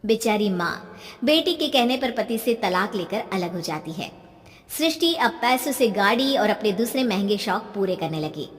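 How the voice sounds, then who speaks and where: A woman's voice: medium pitch, expressive, moderate pace. A woman storyteller reading a scripted moral story narration. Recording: long reverb on the voice.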